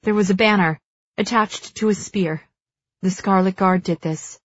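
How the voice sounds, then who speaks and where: A woman's voice: medium pitch, steady tone, moderate pace, no echo, muffled recording, lightly acted. Woman acting a shaken, guarded young heroine. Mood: tense, guarded.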